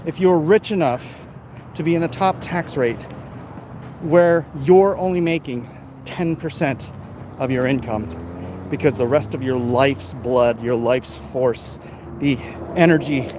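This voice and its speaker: A man's voice: on the high side, darkish tone. An American man talking off the cuff in a casual, opinionated, emphatic way, like a vlogger chatting to viewers. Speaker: live-stream vlogger reading and answering viewer comments. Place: outdoors, in his backyard. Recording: noisy outdoor urban ambience, traffic sounds.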